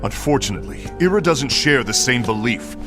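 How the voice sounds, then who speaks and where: An actor playing a hot-headed, earnest comrade rallying allies in an animated game film, speaking with dramatic force. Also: male voice, mid-range voice, a little fast, over music, natural voice. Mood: determined, grave.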